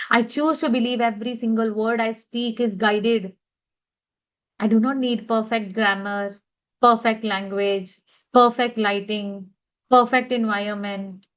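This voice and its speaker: A woman leading a guided tapping session, speaking affirmations in a slow, measured way. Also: medium pitch, highs cut off.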